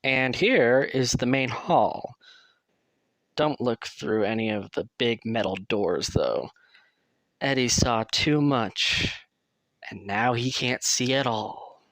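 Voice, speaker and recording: A man's voice: medium pitch, takes it slowly, fairly bright tone, dry recording. A man playing a chatty, sardonic asylum patient who rambles and swears casually while guiding a newcomer in an audio roleplay. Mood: wry, confiding. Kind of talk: acted audio roleplay addressed to the listener.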